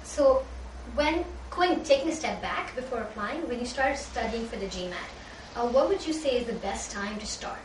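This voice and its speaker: A young woman from Pakistan moderating a casual student panel discussion with quick, leading questions. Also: on the high side, lively intonation. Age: young adult. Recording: roomy, distant mic with background noise.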